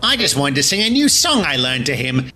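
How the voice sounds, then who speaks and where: A man's voice: mid-range voice, sing-song, fairly quick, music in the background, close to an everyday voice. A man voicing a devious, bullying diesel engine in a cartoon dub, sly and mocking. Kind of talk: cartoon-style character dub.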